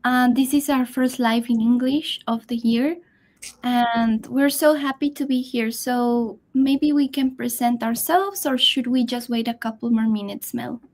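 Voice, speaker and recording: A woman's voice: fairly high pitch, fairly even intonation, measured pace. A woman who grew up in Bolivia and speaks English as a second language, co-hosting a casual live Q&A in a bubbly, chatty way. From born in Peru, raised in Bolivia. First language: Spanish. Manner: bubbly, chatty, laughs often. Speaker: co-host, marketing staff. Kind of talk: casual livestream Q&A chat.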